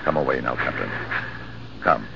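A deep, soothing old doctor. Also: male voice, normal speed, creaky. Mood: consoling. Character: calm older male doctor, gently consoling. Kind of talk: 1940s radio acting. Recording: noisy dull old radio recording.

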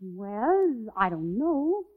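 A woman's voice: fairly high pitch, very animated, drawn-out words, full character voice. A woman playing a sweet, melancholy young Dutch burgomaster's daughter in an old radio operetta, with a theatrical delivery. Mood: coy, eerie. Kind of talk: 1940s-50s radio operetta acting. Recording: dull old radio recording with music.

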